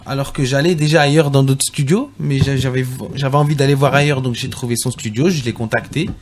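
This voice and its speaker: A man's voice: medium pitch, steady tone, brisk, a little hiss or hum. A man from Paris, a rap artist guest on a radio show, easygoing and repeating words as he chats. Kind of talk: casual radio interview chat. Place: radio studio.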